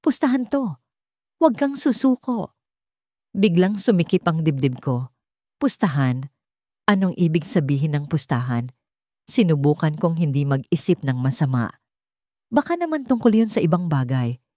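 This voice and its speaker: A woman reading a scripted first-person sad love story in a dramatic, measured storytelling manner. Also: deep voice, lively intonation, warm tone, no room sound, clean studio sound. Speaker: story narrator.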